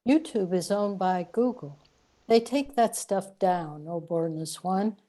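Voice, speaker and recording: A woman's voice: medium pitch, slow pace, warm tone, natural voice. A woman playing a matter-of-fact afterlife guide who explains cosmic trickery in an amateur sci-fi audio comedy.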